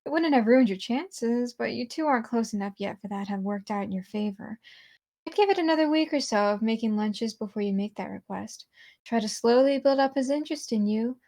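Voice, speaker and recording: A woman plays a teasing teenage schoolgirl in a visual novel. Her voice sits a little high, and she sounds wry and scheming as she coaches her friend. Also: brisk, mellow, natural voice. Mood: advising.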